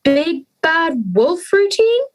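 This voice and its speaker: A young woman plays an earnest schoolgirl in an audio drama. Her voice is high and very melodic, moving slowly through her lines with an anxious air. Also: big pitch swings, full character voice. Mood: bemused, apologetic.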